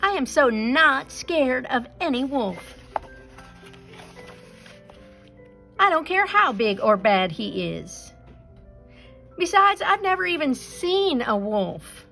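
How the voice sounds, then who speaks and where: Woman voicing a high, excitable little storybook chicken. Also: slow, creaky, soft background music, heavily acted. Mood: boastful. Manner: exclaiming, stammering when flustered. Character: small young chicken, feisty, jumpy, excitable.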